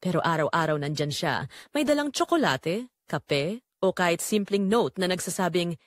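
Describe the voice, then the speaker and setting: Remorseful secretary-mistress played by a woman, first-person romantic audio drama, animated intonation, confessional storytelling, dry recording. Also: on the low side, normal speed, dry recording, close to an everyday voice. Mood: wistful, guilty.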